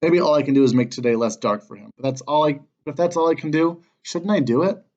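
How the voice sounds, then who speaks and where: A man voicing an earnest, quietly determined young dog spirit in a read-aloud story, speaking sincerely. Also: a little high, fast talker, lightly acted. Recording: dull lo-fi sound.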